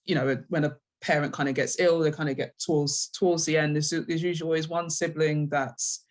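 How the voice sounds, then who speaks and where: A woman's voice: deep voice, steady tone, fairly quick. A woman from the UK, a writer, giving casual interview answers and thinking aloud hesitantly as she goes.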